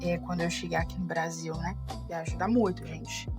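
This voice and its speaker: Young woman w foreign accent chatting about her life, lively, jumps way up when quoting herself, soft music under. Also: fairly low pitch, fairly quick. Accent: foreign, learned Portuguese as adult. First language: not Portuguese. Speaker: vlogger. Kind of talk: casual storytelling.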